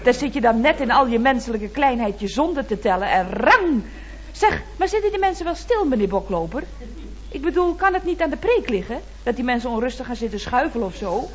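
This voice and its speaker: Lively, cheeky secretary. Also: female voice, a little high, brisk, highs cut off. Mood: teasing, mock concern. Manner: mock-polite, playfully teasing. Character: chatty, cheeky female office secretary at a building firm. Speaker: actor in a radio comedy serial. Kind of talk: 1970s Dutch radio comedy acting. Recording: noisy, dated radio recording.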